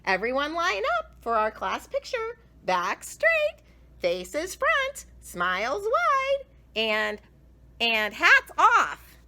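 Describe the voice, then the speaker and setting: A woman's voice: sits high, huge pitch swings, slow, pushed and bright, voice pushed up, exaggerated voice. A woman voicing a chirpy, sing-song schoolteacher marshalling a class in a storybook. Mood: sing-song, commanding.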